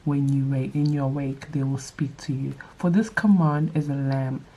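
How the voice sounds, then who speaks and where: A young woman with a nasal, allergy-stuffed voice, reading scripture aloud and adding quick casual asides in a Bible study vlog. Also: a bass voice, fairly even intonation, warm tone, slightly rough, low-quality recording. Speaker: vlogger leading a Bible study.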